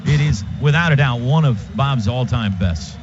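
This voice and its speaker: A man's voice: medium pitch, lively intonation, moderate pace. An American man doing live radio play-by-play of football, in clipped phrases during play and conversationally between plays. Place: stadium radio booth. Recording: dull, noisy live stadium radio broadcast.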